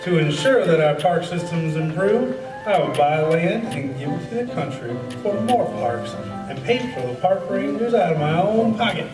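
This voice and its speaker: A man's voice: on the high side, lively intonation, normal speed, lightly acted. A man playing a rich, grateful parks philanthropist in an amateur radio play, with a formal, declamatory delivery. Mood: proud, earnest. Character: rich, grateful philanthropist, former soap-company tycoon, parks champion. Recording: roomy, distant mic, music behind.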